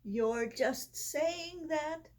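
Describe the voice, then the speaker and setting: A woman's voice: sits high, extremely slow, pitched above the performer's own voice. A woman voicing a mopey, self-doubting male pig from a children's storybook, glum and plaintive.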